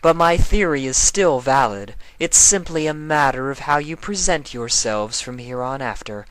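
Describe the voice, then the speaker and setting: A man's voice: mid-range voice, lively intonation, moderate pace, a bit bright, smooth, no room sound, pitched above the performer's own voice, lightly acted, poor audio. A man voicing a proud, haughty alicorn queen villainess with an imperious, theatrical delivery in a fan fiction reading.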